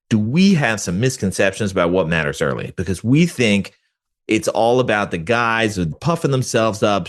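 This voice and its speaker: A man speaking as a guest expert in an interview, explaining research in an animated, colloquial way and acting out imagined quotes. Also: fairly low pitch. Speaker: guest expert, psychology professor.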